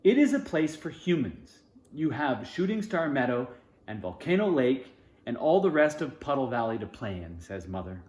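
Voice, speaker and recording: A man's voice: medium pitch, very animated, moderate pace, darkish tone. Man reading a storybook in an echoey room. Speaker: storybook reader. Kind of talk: children's storybook read-aloud with informal asides.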